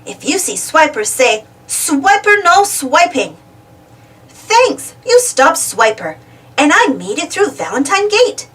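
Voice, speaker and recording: A woman's voice: sits high, big pitch swings, slow, fairly bright tone, far from the mic, full character voice. A woman voicing a cheerful, eager little girl adventurer in a children's storybook, exclaiming and putting questions to the listener. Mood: eager, alert. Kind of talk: children's storybook read-aloud.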